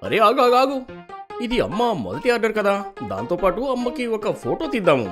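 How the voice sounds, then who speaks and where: Woman voicing an enthusiastic young boy, very animated. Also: mid-range voice, normal speed, music in the background, natural voice. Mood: excited. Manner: enthusiastic, persuasive. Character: cheerful, encouraging young cartoon boy. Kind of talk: animated children's story dubbing.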